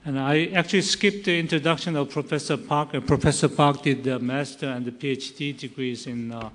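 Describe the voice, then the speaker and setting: A man chairing a conference session, introducing speakers formally in a halting way, searching for his words. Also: medium pitch, steady tone, fairly bright tone. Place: large conference hall. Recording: echoey hall with long reverb.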